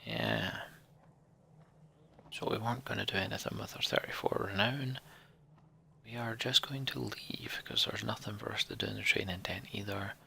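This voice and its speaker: A man hosting a game playthrough, speaking in a hushed voice as he comments and narrates the story text. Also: fairly low pitch, moderate pace, vocal fry, long pauses, a little hiss or hum. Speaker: let's play host. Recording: game music behind the voice.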